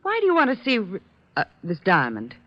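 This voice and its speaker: An actress playing a frightened, protesting kidnap victim in a 1940s radio drama. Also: female voice, medium pitch, huge pitch swings, brisk, no room sound. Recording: muffled old 1940s radio recording.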